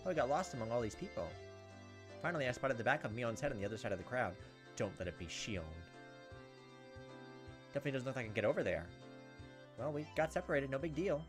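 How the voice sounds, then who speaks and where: A man's voice: mid-range voice, very animated, quick pace, pauses often, music in the background. A man reading a visual novel aloud as a let's play host, with casual, crude joking asides.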